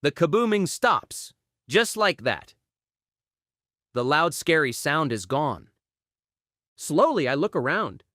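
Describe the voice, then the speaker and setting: A woman reading a children's audiobook aloud in an expressive storytelling style, acting out exclamations and sound words. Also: deep voice, takes it slowly, pauses often, no room sound, studio quality. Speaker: audiobook reader.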